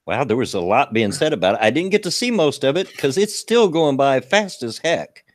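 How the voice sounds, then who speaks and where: A man in his late fifties with a gravelly voice, hosting a casual livestream talk show and reading local news in a folksy, rambling way. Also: medium pitch, big pitch swings, moderate pace, no room sound, studio quality. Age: 50s. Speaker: show host.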